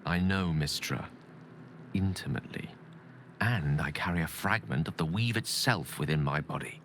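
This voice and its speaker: A man's voice: deep voice, moderate pace, fairly bright tone, slight background noise. A man playing an eloquent, ambitious and embittered wizard in a video game, speaking with earnest, theatrical and formal articulation. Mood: earnest, persuasive. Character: ambitious, eloquent, embittered male wizard pleading his case. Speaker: video game companion character. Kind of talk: dramatic game dialogue acting. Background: background music.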